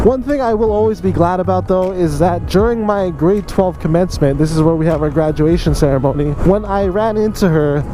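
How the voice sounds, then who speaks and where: A young man telling a casual story in a chatty way, speaking directly to his viewers like a vlogger. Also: high voice.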